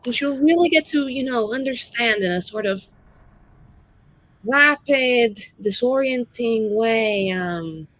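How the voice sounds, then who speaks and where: A woman's voice: on the high side, expressive, slow pace, fairly bright tone. A woman chatting casually in character as a player in a tabletop game, playfully dramatic.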